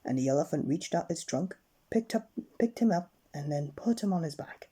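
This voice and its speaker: Woman, bedtime story narration, husky mellow voice, dramatic, animated. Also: low-pitched, moderate pace. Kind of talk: bedtime story read aloud, scripted narration.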